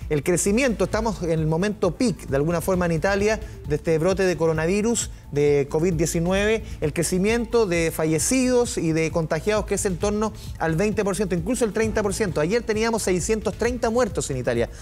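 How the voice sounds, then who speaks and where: High-voiced male news anchor. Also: brisk, few pauses, a little mellow. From Chile. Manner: conversational, repeats and restates points. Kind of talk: live studio news commentary.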